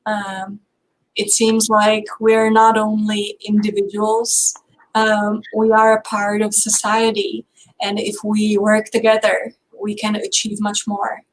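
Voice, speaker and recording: A woman from the Czech Republic with a Czech accent, a guest researcher answering interview questions in a measured way, with many fillers. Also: mid-range voice, completely flat delivery, slight background noise, rough recording.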